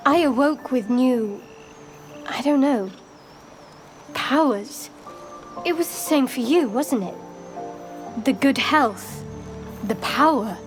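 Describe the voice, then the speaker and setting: Higher-voiced, guarded fantasy adventurer. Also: female voice. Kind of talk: video game dramatic acting. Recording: music behind the voice.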